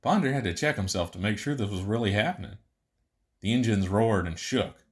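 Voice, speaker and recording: A man's voice: on the low side, fairly quick. A man reading scripted fiction narration as the narrator of an audiobook story.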